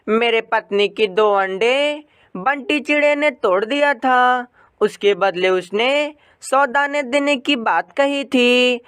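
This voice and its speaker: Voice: medium pitch, fairly bright tone, clear, resonant tone, no echo. A narrator voicing a blunt, demanding bully of a male crow in a cartoon moral story. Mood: bargaining.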